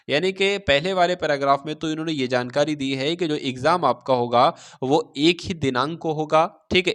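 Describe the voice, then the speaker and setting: Man, slightly high voice, exam instructor, didactic, explanatory read-through, repeats key points. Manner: didactic, repeats and paraphrases, checks in with listeners. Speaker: exam-prep instructor and channel host.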